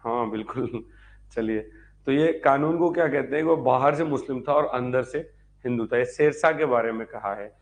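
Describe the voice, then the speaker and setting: A man's voice: medium pitch, normal speed. A man teaching an online class, repeating points, quizzing his students and chatting with them. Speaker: teacher of an online history exam-prep class. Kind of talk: live lecture with chatty asides to students.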